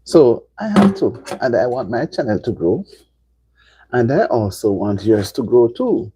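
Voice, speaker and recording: A woman's voice: a bass voice, very animated, a little slow, mellow, slight background noise. A middle-aged woman from Jamaica with a Jamaican accent, chatting casually to viewers on a live stream and repeating herself for emphasis. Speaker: livestream host.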